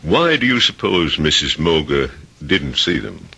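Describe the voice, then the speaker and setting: An actor playing a formal, authoritative coroner in a radio drama, official and deliberate. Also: male voice, a bass voice, huge pitch swings, creaky, bright, forceful tone, breathy edge, exaggerated voice. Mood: stern, formal. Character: formal, authoritative coroner presiding over an inquest. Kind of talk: old-time radio drama acting.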